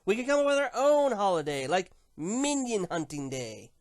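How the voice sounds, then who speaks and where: A woman's voice: mid-range voice, very animated, normal speed, a little mellow, dry recording, clean studio sound, natural voice. A woman playing a grumpy, menacing winged unicorn empress in a dramatic cartoon story reading, grumbling, dismissive and sardonic. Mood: annoyed, dismissive.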